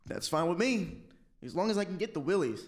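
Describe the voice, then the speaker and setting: Man playing a guileless, high-voiced fearless boy. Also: lively intonation, brisk. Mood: guileless, eager. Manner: guileless, unbothered. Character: naive, fearless, cheerful simple-minded boy hero. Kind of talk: comic radio play acting.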